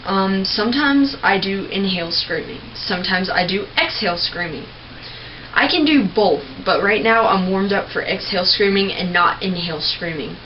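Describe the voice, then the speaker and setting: A young woman giving a casual, rambling tutorial on screaming technique, clearing her throat often. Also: medium pitch. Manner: chatty, rambling, with many filler words and throat clearing. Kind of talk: casual home tutorial video. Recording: dull lo-fi home recording with faint noise.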